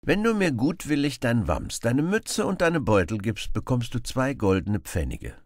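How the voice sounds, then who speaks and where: A man's voice: mid-range voice, wildly swooping pitch, moderate pace, dry recording, studio quality, pitched above the performer's own voice. A man playing a bold, cheerful, teasing male outlaw hero in a storybook reading. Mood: bold, threatening.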